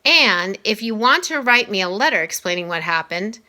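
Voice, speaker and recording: A woman voicing a kindly middle-aged male school principal in a children's storybook, speaking hesitantly and gently but firmly. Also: medium pitch, lively intonation, normal speed. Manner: hesitant, explanatory, gently firm. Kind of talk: children's novel read aloud.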